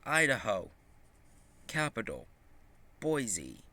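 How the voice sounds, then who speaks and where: A man reading a children's picture book aloud in a halting, deliberate way, with small stumbles. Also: medium pitch, huge pitch swings, sharp tone. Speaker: storybook reader.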